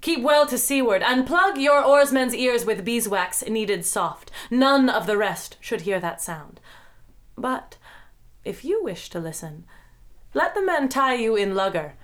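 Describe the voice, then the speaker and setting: A woman playing a solemn enchantress goddess in an audio drama, delivering measured, incantatory and ominous warnings. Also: a little high, a bit bright. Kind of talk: audio drama verse recitation.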